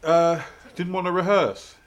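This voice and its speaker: A man in his fifties with a London accent, reminiscing casually in a film commentary, halting, self-mocking and free with swearing. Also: sits high, fairly even intonation, highs cut off. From London's East End. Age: 50s. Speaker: filmmaker giving commentary.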